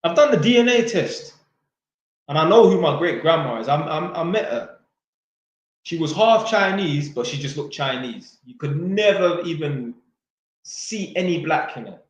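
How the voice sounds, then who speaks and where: A man's voice: fairly high pitch, animated, moderate pace, highs cut off. A man reads out viewer questions and answers them bluntly in casual, slangy chat, swearing freely and joking. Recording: very echoey room.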